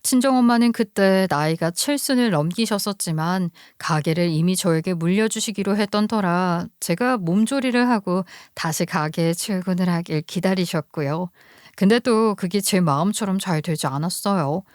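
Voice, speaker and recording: A woman's voice: on the low side, moderate pace, smooth, no room sound, clean studio sound. A woman narrating a scripted first-person life story.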